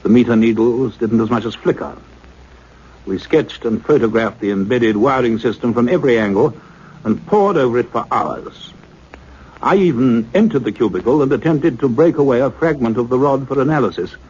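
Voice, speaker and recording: A man's voice: mid-range voice, lively intonation, moderate pace, darkish tone, husky. An actor playing an earnest male scientist, speaking in a precise, formal radio-play manner. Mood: measured, grave. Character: earnest male scientist doctor narrating his investigation. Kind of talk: radio play acting and narration. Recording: dull, lo-fi radio drama recording.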